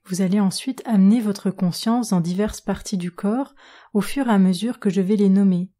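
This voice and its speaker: A woman yoga nidra instructor guiding a relaxation meditation in a calm, measured manner with long pauses. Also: medium pitch. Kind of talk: guided relaxation meditation narration.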